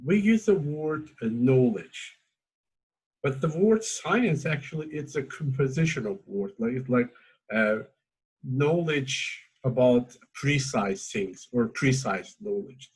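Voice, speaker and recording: A man whose native tongue is Azeri, speaking English with a slightly husky, breathy tone. He feels his way through each thought, stopping and restarting often. Relaxed, informal academic conversation. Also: mid-range voice, takes it slowly, low-quality recording. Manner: hesitant, many fillers and restarts. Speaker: academic host.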